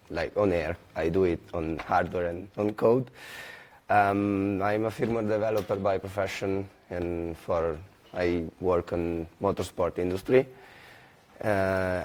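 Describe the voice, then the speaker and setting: Hoarse man presenting. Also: fairly low pitch, a little mellow. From Milan, Italy. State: hoarse, strained voice. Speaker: conference presenter. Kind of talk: technical conference talk.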